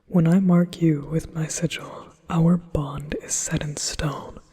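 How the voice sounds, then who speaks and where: A man's voice: a little high, level tone, dark tone, breathy edge, heavily acted. A man whispering as a possessive yandere demon. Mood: coaxing. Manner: slow soothing whisper. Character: possessive manipulative male demon offering a contract. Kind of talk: ASMR hypnosis roleplay.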